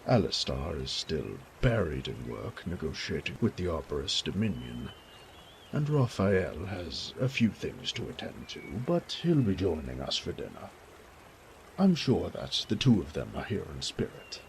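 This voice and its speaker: Elderly noble father, doting, genteel, effusive, slow, very animated pitch, audio drama. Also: gravelly, slight background noise, heavily acted. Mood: tender, concerned. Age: older. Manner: effusive, genteel, old-fashioned phrasing. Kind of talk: audio roleplay drama acting.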